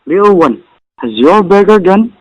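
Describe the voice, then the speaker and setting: A man's voice: high-pitched, lively intonation, moderate pace. A man playing a proud, idealistic emperor in a stage drama, delivering courtly lines in a grand, formal manner. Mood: proud, resolute. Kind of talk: stylized stage drama recital of poetic lines. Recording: muffled phone-like audio.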